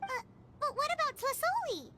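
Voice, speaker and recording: Squeaky, excitable little fairy girl. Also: normal speed, very noisy. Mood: worried. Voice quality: squeaky, childlike. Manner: exclamatory, emphatic. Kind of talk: video game voice acting. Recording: music behind the voice.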